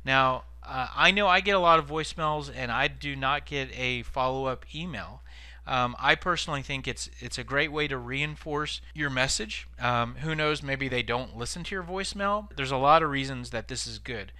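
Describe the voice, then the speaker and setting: A man presents practical training in a conversational, explanatory way. His voice is bright and forward at an average pitch, recorded dry and close, with key words given extra stress. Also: moderate pace, no room sound. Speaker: sales trainer presenting tips. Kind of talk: informal instructional presentation.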